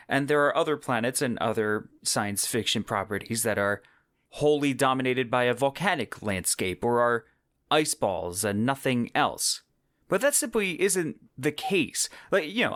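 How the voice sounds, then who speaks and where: A man's voice: medium pitch, fairly bright tone, no room sound, clean studio sound. A man hosting a podcast, explaining in a conversational, semi-scripted narration with emphatic descriptive wording. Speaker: podcast host.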